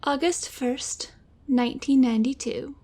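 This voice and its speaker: A mellow, high female voice an actress in role as a mysterious rich widow in a noir audio drama. She is cool and poised, taking her words very slowly. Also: steady tone, slight background noise. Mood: cool, sultry. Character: cool, mysterious, wealthy femme fatale widow.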